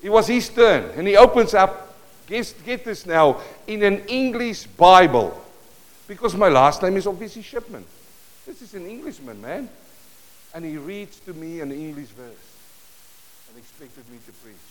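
Pastor, male, Afrikaans accent, slightly high voice, lively storytelling sermon, miked in a church hall. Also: normal speed, slight background noise. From South Africa. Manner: storytelling, animated bursts, moves around the stage. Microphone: worn or handheld mic that cuts in and out while he moves.

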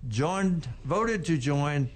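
An older man co-hosting a radio talk show, giving labor news in a plainspoken, unhurried way. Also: a little high, lively intonation. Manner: plainspoken, unhurried, with frequent restarts. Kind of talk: casual labor news chat.

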